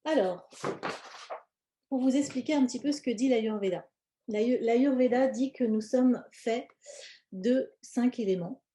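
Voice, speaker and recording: Mellow-voiced woman presenting, often hesitant. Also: on the high side, slight background noise. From born in India, grew up in France. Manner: hesitant, pausing often mid-phrase. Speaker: presenter, cosmetics brand founder. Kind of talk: informal live presentation, explanatory talk.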